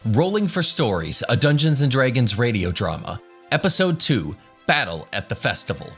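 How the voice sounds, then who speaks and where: A man's voice: medium pitch, very animated, normal speed, fairly bright tone, husky, faint music behind. A man narrating a scripted fantasy radio drama. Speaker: narrator, game master.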